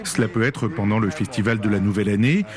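A man's voice: fairly low pitch, fast, a crowd in the background. A man doing a radio voice-over of translated interview answers.

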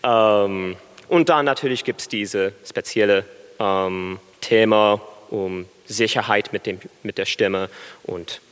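A young American man, a baritone student, answering interview questions on stage in halting, careful German full of pauses and fillers. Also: a bit bright, clean tone, in a large hall. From USA. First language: English.

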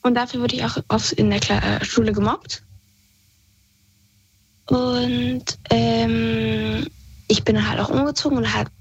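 A woman's voice: fairly high pitch, steady tone, unhurried, long pauses, dry recording. A young woman calling into a radio show, telling her story hesitantly and nervously. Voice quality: breathy. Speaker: caller. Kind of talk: phone-in call. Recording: dull phone line.

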